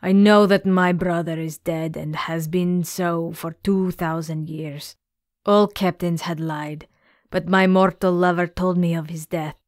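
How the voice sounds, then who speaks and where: A woman's voice: on the low side, fairly even intonation, a little slow, no echo, clean studio sound, lightly acted. A woman voicing a furious ancient sea monster, a vengeful grieving mother who accuses and commands. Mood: furious accusing.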